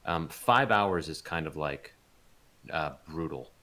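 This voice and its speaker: Very deep-voiced man, hesitant interview chat. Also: lively intonation, slow pace, sharp tone. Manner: hesitant, rambling, thinking aloud. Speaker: voice actor being interviewed. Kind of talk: casual unscripted interview chat.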